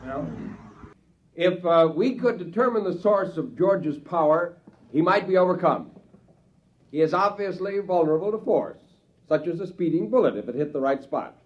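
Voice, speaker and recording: A male actor playing a grave, earnest professor in a 1950s radio drama, intense and deliberate in delivery. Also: high-pitched, lively intonation. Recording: muffled, hissy 1950s radio broadcast.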